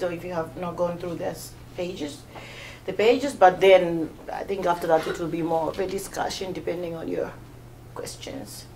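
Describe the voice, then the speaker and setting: A woman's voice: on the low side, darkish tone, slight background noise, poor audio. A woman in her thirties from Tanzania, giving an informal talk to a small audience, hesitant with many fillers and restarts. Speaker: guest speaker.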